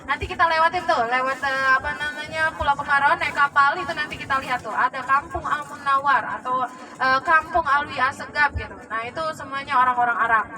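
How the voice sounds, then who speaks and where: A woman's voice: high-pitched, brisk. A young woman from Palembang working as a tour guide, chatting with a pilgrim group on a moving bus and often quizzing them and checking they follow. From Palembang, Indonesia. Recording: distant voice over bus and crowd noise. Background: passengers chatting and road noise.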